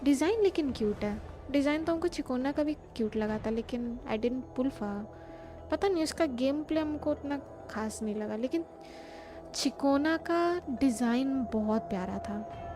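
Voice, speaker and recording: Fast-talking Indian woman streaming games. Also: sits high, lively intonation, music in the background. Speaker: game livestreamer. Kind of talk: casual stream chat.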